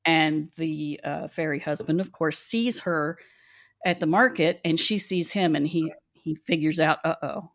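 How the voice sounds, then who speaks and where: A woman's voice: low voice, fairly even intonation, unhurried. A middle-aged woman from West Virginia with an Appalachian accent, telling stories in a chatty, laughing way. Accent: Appalachian American. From West Virginia, USA. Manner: chatty, laughing, acts out quoted voices. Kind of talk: casual storytelling chat.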